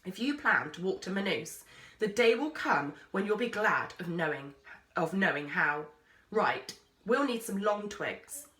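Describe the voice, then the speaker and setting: A woman's voice: medium pitch, expressive, a little slow, sharp tone, far from the mic. A woman voicing a brusque, wry explorer man with a commanding, matter-of-fact manner in a storybook. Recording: roomy, echoey sound.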